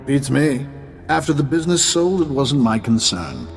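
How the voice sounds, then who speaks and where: An actor playing a shady retired tycoon in a video game, guarded, brusque and condescending. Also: male voice, mid-range voice, sing-song, moderate pace, vocal fry. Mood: cagey. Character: wary, dismissive, shady retired male tycoon. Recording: faint game music behind the voice.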